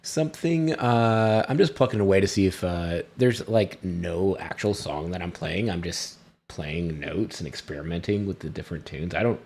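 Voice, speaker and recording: Low-voiced, self-deprecating man at a tabletop game. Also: normal speed, gravelly, hardly any pauses, darkish tone, husky. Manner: rambling, self-deprecating, chuckling often. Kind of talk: casual first-person role-play chat.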